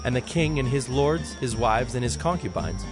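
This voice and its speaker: A man narrating dramatized scripture in a formal, measured storytelling style. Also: mid-range voice, animated. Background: music.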